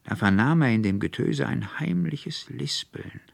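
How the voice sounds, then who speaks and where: A man's voice: mid-range voice, expressive, unhurried, creaky, dry recording. A man reading a literary tale as an audiobook narrator, expressively and from a script.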